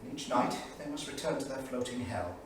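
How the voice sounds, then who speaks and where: A man acting a troubled 1930s diarist in a staged reading, speaking with deliberate, theatrical emphasis. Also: mid-range voice, expressive, close to an everyday voice. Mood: grave, indignant. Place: small theatre studio. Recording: very echoey theatre, distant mic, faint noise.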